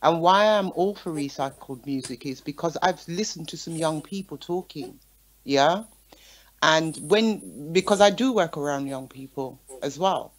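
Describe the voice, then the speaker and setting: A woman's voice: sits low, unhurried, low-quality recording. A woman guest and show promoter in a casual panel discussion, persistently cutting in and making her point conversationally.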